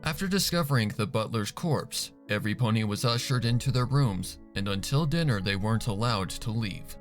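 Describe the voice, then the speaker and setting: Man narrating a story, lively pitch, over music. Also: normal speed. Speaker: fan fiction narrator. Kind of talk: dramatic scripted story narration.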